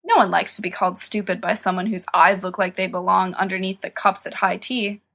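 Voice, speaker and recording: A woman's voice: mid-range voice, steady tone, brisk, gravelly, fairly bright tone. A woman reading scripted fiction as an audiobook narrator.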